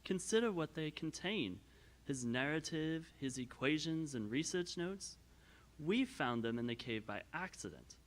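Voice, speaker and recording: An actor playing an earnest, worried man in an audio theater drama, with a deliberate stage delivery. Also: on the high side, animated. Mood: worried, resolute. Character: earnest, worried man who found secret journals.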